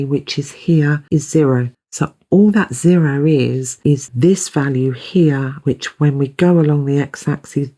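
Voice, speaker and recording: A woman's voice: low-pitched, warm tone. A woman from the UK with a British accent, patiently explaining maths step by step as a teacher. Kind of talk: step-by-step tutorial narration.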